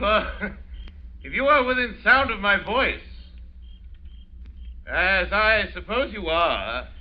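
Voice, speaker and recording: An actor playing a suave, sinister aristocratic hunter who taunts with mocking courtesy, in 1940s radio drama style. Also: male voice, sits high, full character voice. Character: suave, sinister aristocratic male big-game hunter villain. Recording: dull vintage radio broadcast recording.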